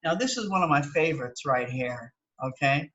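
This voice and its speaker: A woman's voice: sits low, animated, normal speed, a bit bright, muffled recording. A woman with an American accent teaching through a read-aloud, adding explanatory asides and often checking in with "okay?".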